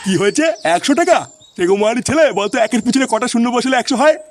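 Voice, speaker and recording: A man's voice: very high voice, fast. A man voicing a grumpy, foul-mouthed old father and grandfather in a comedy cartoon, scolding and complaining in an earthy way. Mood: scornful scolding.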